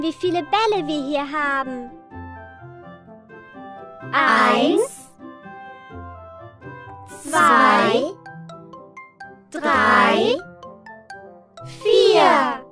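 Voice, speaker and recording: A woman voicing a cheerful, caring little girl in an educational children's cartoon, speaking in simple, deliberate phrases to young viewers. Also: sits high, sing-song, pushed and bright, soft background music, full character voice. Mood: cheerful, encouraging. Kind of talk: slow, clear children's educational cartoon dialogue.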